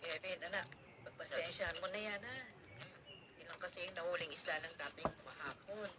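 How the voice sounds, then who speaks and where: A woman's voice: lowish voice, a little fast, lightly acted. Woman acting a loving poor mom in a filipino radio drama, earnest n pleading, super noisy audio. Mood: apologetic, tender. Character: poor, loving, guilt-ridden mother pleading with her son.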